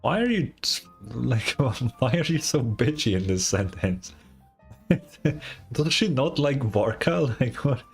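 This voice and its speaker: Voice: mid-range voice, big pitch swings, slow, creaky. A streamer giving casual, sweary, informal livestream commentary and reactions to a game. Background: game music.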